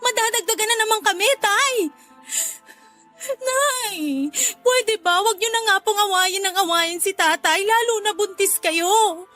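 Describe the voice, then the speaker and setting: Very high, bright, pleading daughter played by a woman. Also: unhurried, exaggerated voice. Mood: weary, upset. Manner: pleading, reproachful. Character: exasperated, outspoken school-age daughter, polite but weary. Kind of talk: Tagalog radio drama acting. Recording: music behind the voice. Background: music.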